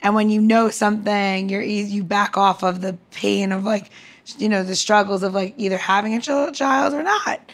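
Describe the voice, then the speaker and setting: A woman podcast host chatting casually, halting and restarting, repeating words for emphasis. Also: mid-range voice. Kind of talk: casual chat.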